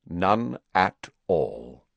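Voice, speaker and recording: A man voicing a cold, calculating male wizard in an audiobook, speaking in a measured, deliberate way. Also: sits low, very animated, gravelly, no room sound, full character voice. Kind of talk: audiobook character voice.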